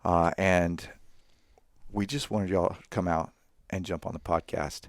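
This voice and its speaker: Man, low-pitched, dry close studio sound, relaxed podcast host. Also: a little slow, no room sound. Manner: relaxed, friendly, says y'all. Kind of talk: casual podcast chat. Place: dark studio set with leather chairs.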